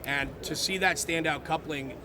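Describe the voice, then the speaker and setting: A man's voice: on the high side, normal speed. A man hosting a casual live interview at a trade show, enthusiastic and emphatic. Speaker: interviewer, host. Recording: busy crowd behind voice.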